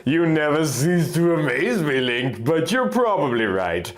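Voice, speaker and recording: Fantasy story wife, read by a man: affectionate, measured, puzzled, fairly high and lively. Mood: puzzled, calm.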